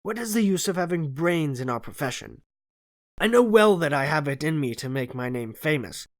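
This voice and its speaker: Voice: medium pitch, big pitch swings, normal speed. A narrator playing a conceited, analytical male detective in an audiobook, lecturing with self-assured disdain. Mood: complaining, boastful. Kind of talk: audiobook character voice in classic detective story.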